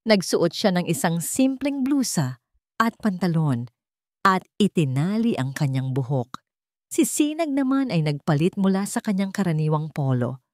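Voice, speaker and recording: A woman's voice: medium pitch, a little mellow, no room sound, clean studio sound. A woman narrating a scripted dramatic story.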